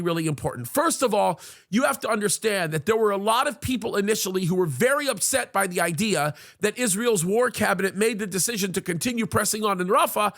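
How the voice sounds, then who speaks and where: A man with a high voice and a gravelly, creaky edge reads a news piece aloud and breaks off to weigh in. He presses hard on the words he wants to stress and fills pauses with "uh" while he thinks. The delivery is earnest commentary from a pastor. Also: fairly bright tone. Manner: earnest, emphatic, leans hard on key words.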